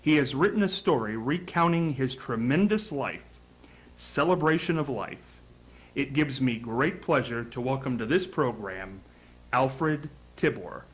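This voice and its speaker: Male interview host from Ohio, mid-pitched, polite and formal in his questions. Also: animated, unhurried, low-quality recording. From Ohio, USA. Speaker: talk show host. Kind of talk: interviewing a guest.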